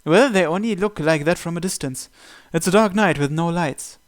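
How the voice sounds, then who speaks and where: Slightly high-voiced man reading aloud. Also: normal speed. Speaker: let's player reading visual novel aloud. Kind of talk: narration read aloud, first person. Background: game music.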